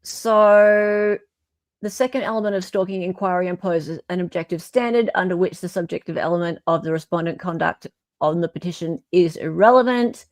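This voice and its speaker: A woman's voice: on the low side, moderate pace, dry recording. Wry woman, close dry sound. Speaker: co-host reading and commenting on a legal filing. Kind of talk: informal read-aloud with wry commentary.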